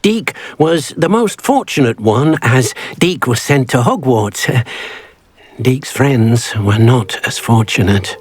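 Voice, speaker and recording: A man's voice: mid-range voice, expressive, takes it slowly, slightly rough. An actor playing a small, eager male house elf in a video game, who talks of himself in the third person in a fussy, polite way. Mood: wistful. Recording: faint game music behind.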